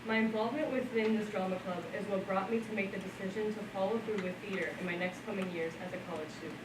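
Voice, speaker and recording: A woman's voice: mid-range voice, fairly even intonation, fast. A woman in her late teens giving a composed, articulate prepared speech as a student speaker. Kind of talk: prepared speech at a school event. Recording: echoey room, voice from far off, noisy.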